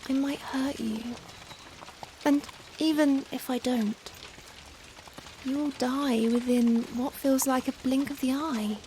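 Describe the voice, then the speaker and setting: A woman playing a young Victorian vampire in a softly spoken ASMR roleplay, anguished and tender, speaking formally and hesitantly with rain behind the voice. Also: high voice, slow, long pauses. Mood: regretful, pleading. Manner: hesitant, formal, emotional, pleading. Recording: steady rain noise behind. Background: rain falling on a surface.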